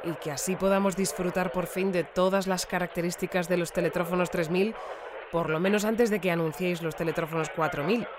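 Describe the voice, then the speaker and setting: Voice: mid-range voice, steady tone, brisk, background noise, close to an everyday voice. An actor playing a wordy, tongue-in-cheek news reporter covering a robot trial in a sci-fi audio comedy. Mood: dryly sarcastic.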